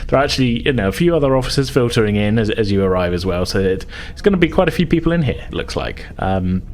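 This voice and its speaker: A man narrating a tabletop game as game master, improvising his descriptions in a halting, hesitant way. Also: lowish voice, expressive, a little mellow.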